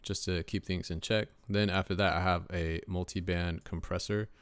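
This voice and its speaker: A deep-voiced young man, a rapper casually walking through his mixing setup in a relaxed way, with lots of ums and uhs. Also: moderate pace, clear, resonant tone, no room sound. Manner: laid-back, lots of uh and um fillers. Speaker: rapper and home mixing engineer. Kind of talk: casual screen-share mixing tutorial.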